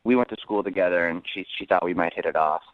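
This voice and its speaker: A man's voice: low-pitched, fast talker, dry recording. A man calling in to a radio show, chatting casually and earnestly, often stammering and repeating words. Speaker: caller. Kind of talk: casual phone-in chat. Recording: band-limited tinny phone line.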